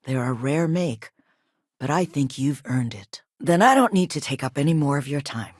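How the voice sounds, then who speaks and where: A woman acting as a poised, quietly assertive company chief executive in a video game, polished, measured and courteous but firm. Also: sits low, lively intonation, breathy edge, no room sound, studio quality. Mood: composed, businesslike.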